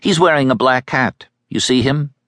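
A man's voice: medium pitch, moderate pace, fairly bright tone, no echo, studio quality, pitched above the performer's own voice, close to an everyday voice. A man voicing a streetwise young boy who lectures with brash certainty. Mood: explaining.

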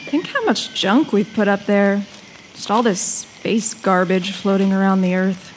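A woman playing a calm, wondering young camper in a live horror audio drama. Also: medium pitch, measured pace, lightly acted. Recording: clearly noisy live recording.

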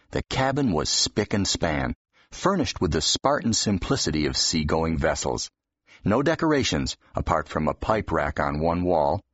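A man narrates a novel in a low, husky voice. His reading is expressive, with wide melodic movement, and the recording is dry and a little dull. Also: no room sound. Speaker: audiobook narrator. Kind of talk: expressive scripted fiction narration. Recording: dull, slightly muffled audio.

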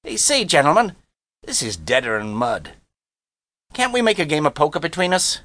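A man's voice: fairly high pitch, very animated, normal speed, sharp tone, dry recording, pitched above the performer's own voice. A man voicing a brash, boastful American teenage boy with slangy, show-off talk in an audiobook story. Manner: slangy, cocky, showing off.

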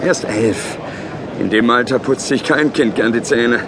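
A man's voice: mid-range voice, measured pace, lightly acted. An actor playing a hearty, chummy dentist, the mother's new boyfriend, in an audio drama. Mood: cheerfully encouraging. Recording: clear vehicle and station noise.

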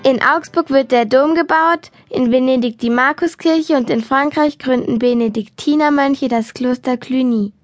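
A woman's voice: sits high, moderate pace, hardly any pauses, no echo, clean studio sound. A woman narrating a scripted history audiobook for children. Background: music.

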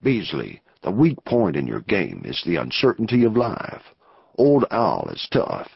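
A man putting on a low, drawling outlaw boss. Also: wildly swooping pitch, gravelly, husky, dry recording, exaggerated voice. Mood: wary drawl. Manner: slow frontier drawl. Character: gruff scheming male outlaw leader. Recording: dull lo-fi audio.

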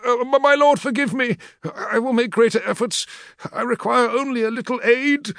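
A man's voice: very high-pitched, moderate pace, a bit bright, dry recording, clean studio sound, pitched above the performer's own voice. A man voicing a terrified fat male logistician who stammers and pleads before his lord. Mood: terrified pleading.

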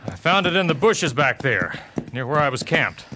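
An actor playing a steady, determined Texas Ranger with a terse, resolute manner in a Western audio drama. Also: male voice, a little high, normal speed, slight background noise. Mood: measured, intent.

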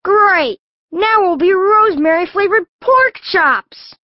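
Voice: very high voice, expressive, slow pace, no echo, muffled recording, full character voice. A performer playing a gloomy young male pig in a children's audiobook, theatrical and mournfully complaining. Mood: bitter. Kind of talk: full-cast children's audiobook acting.

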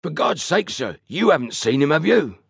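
A man's voice: fairly high pitch, sing-song, normal speed, gravelly, a bit bright, husky, no echo, studio quality, pitched above the performer's own voice, full character voice. A man voicing a large, pompous, easily bewildered rustic station master in an audiobook story, with lofty dignity and uneducated grammar. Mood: perplexed. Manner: lofty, sarcastic dignity with dropped grammar and misplaced aitches.

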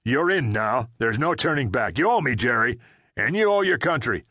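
A man's voice: medium pitch, lively intonation, normal speed, pushed and bright, no room sound, voice pushed up. A man voicing a sweating ex-prisoner of war who barks urgent, terse orders, in a dry, close recording. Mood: fervent. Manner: barking terse commands. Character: agitated man, a Vietnam ex-POW, urgent.

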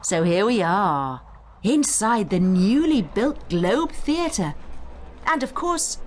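A woman giving scripted educational narration as a guide, in an instructive, expressive storytelling manner. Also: medium pitch, slow pace, a little hiss or hum. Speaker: study guide narrator and tour guide.

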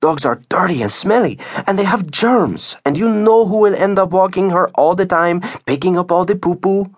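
A man's voice: high voice, expressive, normal speed, pitched above the performer's own voice. A man voicing a blunt, disapproving woman with a scolding manner, as in an audiobook. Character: blunt, disapproving woman who dislikes dogs.

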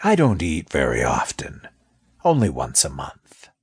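A man voicing a large, sly boa constrictor in a children's story, speaking slowly and with amusement, at times in a whispery tone. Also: a bass voice, wildly swooping pitch, breathy edge, no room sound, studio quality, exaggerated voice. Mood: evasive, calm. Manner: slow, drawn-out, sometimes whispery speech. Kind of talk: children's audiobook character.

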